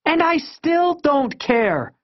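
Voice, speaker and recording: A man's voice: fairly high pitch, expressive, measured pace, husky, no room sound, lo-fi sound, pitched above the performer's own voice, heavily acted. A man voicing a frank, spirited young schoolgirl in an audiobook, speaking emphatically and earnestly. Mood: indignant.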